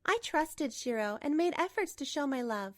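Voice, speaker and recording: High-voiced, coolly resolute betrayed wife played by a woman. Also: expressive, normal speed, no echo, studio quality. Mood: firm. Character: betrayed wife, composed, coolly resolute, narrating her story. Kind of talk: scripted manga dub narration and dialogue.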